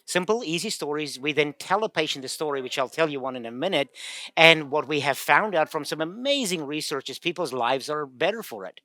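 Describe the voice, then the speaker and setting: A man's voice: fairly high pitch, animated, fairly quick, sharp tone, no echo. A man from South Africa with a South African accent, a guest pain expert explaining in casual chat, telling stories and quoting patients. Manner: storytelling, laughs easily, quotes patients.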